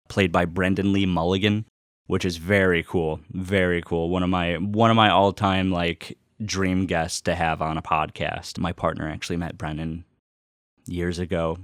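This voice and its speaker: A man's voice: low-pitched, level tone, a little slow, clean tone, no echo, studio quality. A man chatting casually to viewers in a rambling, enthusiastic fan style, with emphatic repetition and swearing. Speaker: vlogger unboxing vinyl and merchandise.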